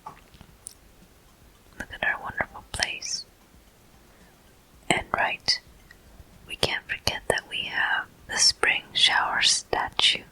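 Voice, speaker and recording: A whispering game host giving soft, deliberate ASMR commentary with frequent pauses, close to the microphone. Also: very high voice, fairly even intonation, poor audio. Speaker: host of gameplay walkthrough.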